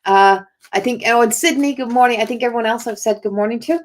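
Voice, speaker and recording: A woman's voice: fairly high pitch, fast talker. Woman, spiritual card reader on a live stream; strained, tired voice; loose and rambling delivery. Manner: chatty and rambling, greets viewers by name. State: strained, failing voice. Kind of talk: casual livestream chat.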